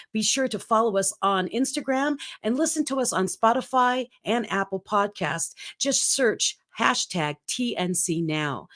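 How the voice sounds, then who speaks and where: An upbeat woman hosts a live-stream talk show from home. She talks in a chatty, friendly way and laughs often, her voice at an everyday middle pitch. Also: fairly bright tone. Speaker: host. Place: home in Colorado.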